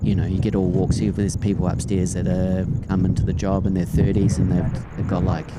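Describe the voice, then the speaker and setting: A man with a New Zealand accent, an air traffic controller chatting as a guest on a headset, relaxed and rambling. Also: sits low, moderate pace. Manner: relaxed, rambling, trails off and restarts. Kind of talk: casual chat. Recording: loud outdoor traffic noise.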